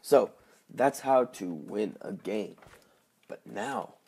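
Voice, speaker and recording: A man with a slightly hoarse, just-woken voice giving casual, halting gameplay commentary as he thinks aloud. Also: lowish voice, expressive, darkish tone. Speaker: gaming video host.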